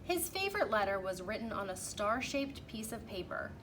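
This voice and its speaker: A woman reading a children's storybook aloud in an animated, emphatic way, drawing out key phrases. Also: mid-range voice, normal speed. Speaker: teacher reading a picture book aloud. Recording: clearly noisy background.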